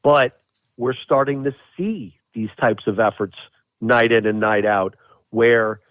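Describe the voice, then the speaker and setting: A man's voice: medium pitch, slow, no echo. Male sports announcer as podcast guest: emphatic, conversational analysis, mid-range voice down a narrow phone line.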